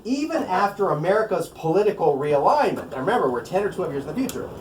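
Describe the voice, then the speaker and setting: Male author at a live reading: slightly high-pitched, wry and explanatory, picked up from a distance in a roomy space. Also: very animated, fast talker. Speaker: author reading from own novel. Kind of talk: live book reading with asides. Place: room at a live bookstore event. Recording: roomy, distant mic, faint noise.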